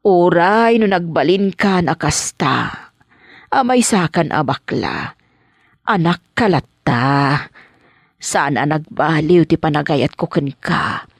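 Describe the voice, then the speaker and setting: A woman's voice: low voice, animated, slow, long pauses, no room sound, heavily acted. A woman playing a long-suffering, loving mother with a plaintive, dramatic manner in an Ilocano radio comedy drama. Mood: tearful acceptance.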